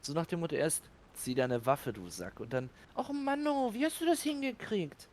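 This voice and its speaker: A man's voice: a little high, sing-song, moderate pace, a little mellow, slight background noise. A man rambling casually about a game, often hesitating and restarting his sentences. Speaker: let's play co-host.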